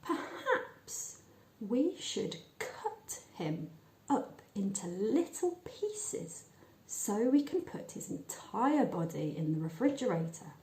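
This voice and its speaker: A thoughtful little storybook girl, played by a woman narrator, speaks slowly and matter-of-factly. Her voice is soft and mellow, with halting phrases in an echoing room. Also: mid-range voice, sing-song. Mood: tentative scheming.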